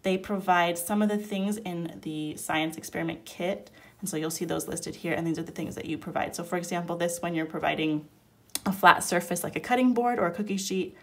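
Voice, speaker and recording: A woman's voice: on the low side, a little fast. Nasal-sounding woman with a cold, explaining. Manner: relaxed, chatty, explaining step by step. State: sick, nasal. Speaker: homeschool curriculum reviewer. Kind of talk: casual product flip-through. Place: indoors at a table, hands-only overhead camera.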